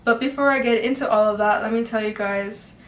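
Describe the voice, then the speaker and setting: A woman's voice: fairly high pitch, a little fast. Chatty teen girl hosting a podcast. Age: teens. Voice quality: slightly nasal from congestion. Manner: chatty, upbeat, many fillers and laughs. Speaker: podcast host. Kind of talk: casual chat. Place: home room. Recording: echoey home room, faint ambience.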